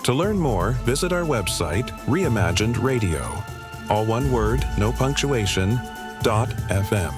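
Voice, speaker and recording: A man's voice: medium pitch, expressive, measured pace. Male radio announcer over music. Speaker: closing announcer. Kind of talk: scripted radio sign-off.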